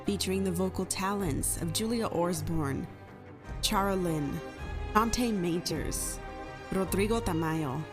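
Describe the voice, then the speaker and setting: A woman's voice: fairly low pitch, a little slow, music in the background. A woman, one of the cast, reading the scripted closing credits of an audio drama podcast.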